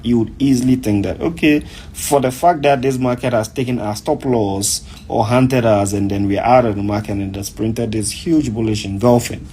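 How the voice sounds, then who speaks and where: A man's voice: lowish voice, a little mellow, a little hiss or hum. A man from Africa, a forex trader, walking through a chart in a rambling, conversational way and often asking "right?". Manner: conversational, rambling, fills with "you know" and "right?". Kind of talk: casual chart walkthrough.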